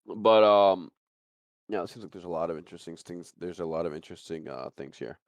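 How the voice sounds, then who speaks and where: Man, low voice, podcast host, casual, rambling, repetitive phrasing, dry close sound. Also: brisk, vocal fry, dry recording.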